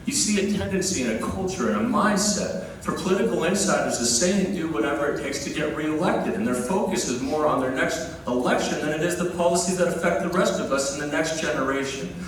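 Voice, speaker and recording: A man's voice: on the high side, brisk, few pauses, slight background noise. A young adult man, a candidate giving an earnest debate opening with frequent fillers. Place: debate hall. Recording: echoey hall from far off.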